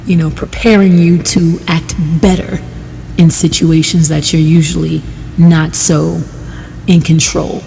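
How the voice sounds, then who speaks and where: Slow, mellow-voiced woman guiding meditation. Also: fairly low pitch, creaky, in a large hall, slight background noise, poor audio. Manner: measured, deliberate, pausing between short phrases. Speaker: guided meditation instructor. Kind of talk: guided meditation instruction.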